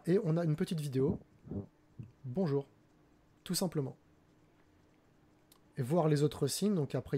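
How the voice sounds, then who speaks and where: A man's voice: on the high side, noisy background. A man in his forties hosting a casual live stream, talking with a headset mic at home, hesitant with many fillers and restarts. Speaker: streamer host. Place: home room indoors.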